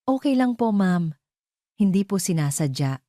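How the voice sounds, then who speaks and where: A woman's voice: medium pitch, very animated, a little slow, warm tone, no room sound, clean studio sound, pitched above the performer's own voice. A woman voicing a meek, polite nanny. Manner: polite, deferential.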